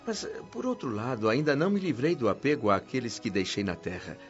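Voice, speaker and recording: A man's voice: mid-range voice, big pitch swings, a little fast, natural voice. A male actor voices a polite, anxious Brazilian newcomer spirit in a radio play. He questions hesitantly, his mid-range voice moving up and down a lot. Music sits beneath the slightly lo-fi sound. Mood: anxious curiosity. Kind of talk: radio drama acting. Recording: dull lo-fi audio with music underneath.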